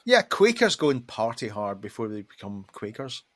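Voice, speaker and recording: A man chatting casually as a podcast co-host, dropping joking, deadpan asides. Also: mid-range voice, moderate pace. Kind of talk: casual chat.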